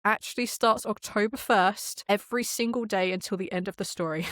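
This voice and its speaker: A woman chatting casually as a podcast guest, telling things in an animated, enthusiastic way. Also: mid-range voice, fairly quick, dry recording, clean studio sound. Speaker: podcast guest, audio drama creator and game master. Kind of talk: casual interview chat.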